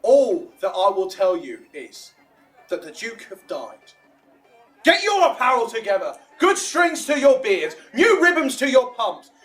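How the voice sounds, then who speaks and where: A man's voice: very high-pitched, huge pitch swings, measured pace, a bit bright, exaggerated voice. A man playing an exuberant, self-important amateur actor in a theatrical Shakespearean audio drama, declaiming grandly and bossily. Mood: excited, bossy. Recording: roomy, distant mic, music behind.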